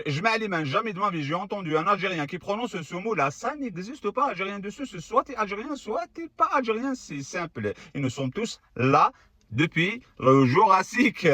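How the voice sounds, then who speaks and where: A man's voice: high voice, sing-song, normal speed, bright, forceful tone, dry recording. A man giving casual, argumentative history commentary to camera, sarcastic and full of rhetorical questions. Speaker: video creator.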